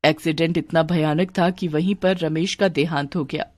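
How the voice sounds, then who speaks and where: A woman narrating a children's cartoon story in a scripted, expressive way. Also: low-pitched, moderate pace, heavy background noise.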